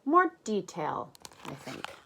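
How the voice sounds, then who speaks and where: A woman voicing an eager, excitable young male character from a picture book, full of enthusiastic exclamations. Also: on the low side, slow pace, creaky, husky, exaggerated voice. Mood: musing.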